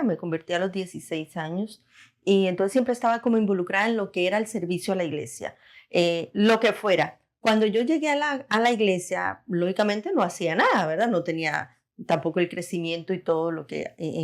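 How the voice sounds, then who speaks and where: Medium-pitched woman from Costa Rica. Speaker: interview guest. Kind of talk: casual personal storytelling.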